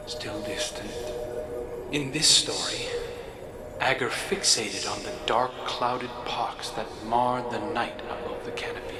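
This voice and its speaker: An actor voicing a wistful, brooding male storyteller who talks in slow, hushed, half-whispered tones. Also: mid-range voice, natural voice. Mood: longing. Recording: voice over loud game music.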